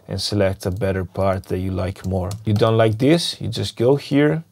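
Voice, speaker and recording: Man with a cold demoing music software. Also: on the low side, moderate pace. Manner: relaxed, thinking aloud as he clicks through. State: has a cold, losing his voice. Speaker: music production tutor. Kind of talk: screen-recorded software tutorial. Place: small dim home studio, indoors. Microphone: lapel mic. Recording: music clearly under the voice. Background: music playing from the session.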